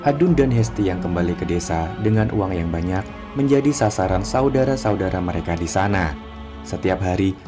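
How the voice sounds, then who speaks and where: A man narrating a cartoon drama in an even, storytelling way. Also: on the low side. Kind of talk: scripted narration.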